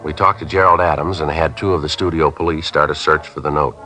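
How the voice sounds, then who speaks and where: A 50s radio cop, super low voice, quick and flat, all business. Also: male voice, faint music behind. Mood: matter-of-fact. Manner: deadpan, terse. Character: calm, methodical male police detective, terse and businesslike. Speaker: actor in a police radio drama. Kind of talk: 1950s radio acting, clipped dialogue and narration. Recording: 1950s radio drama recording.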